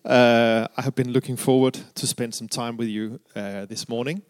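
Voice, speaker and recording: A man's voice: mid-range voice, fairly even intonation, smooth. Danish-accented man preaching a sermon. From Denmark. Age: middle-aged. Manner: earnest, halting with fillers and restarts.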